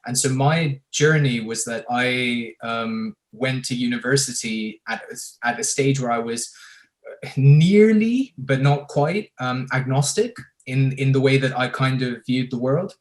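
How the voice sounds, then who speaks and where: A young man from the Emirates, a filmmaker speaking thoughtfully with many pauses and fillers on a video call panel. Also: medium pitch, animated. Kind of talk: reflective panel discussion.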